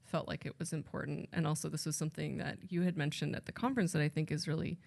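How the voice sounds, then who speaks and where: A woman's voice: deep voice, fairly even intonation, fast, creaky, darkish tone. A woman translator speaking on a panel at a library event, thoughtful and rambling, often correcting herself mid-sentence. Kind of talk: casual panel talk.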